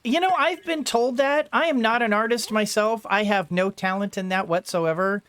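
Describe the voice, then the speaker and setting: A woman's voice: mid-range voice, moderate pace, a bit bright. A middle-aged woman giving casual gameplay commentary, rambling, talking to herself and exclaiming playfully. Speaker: let's-play host.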